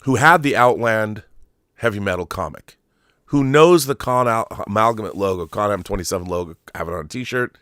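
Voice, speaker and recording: A middle-aged man delivers opinionated film commentary in a very lively, swinging pitch. The sound is dry and close, and he leans hard on key words, pausing and repeating phrases to make his point. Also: no room sound. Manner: emphatic, deliberate, with stressed pauses and repeated phrases. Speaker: host of a film commentary show. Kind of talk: opinionated monologue to viewers about film collectibles.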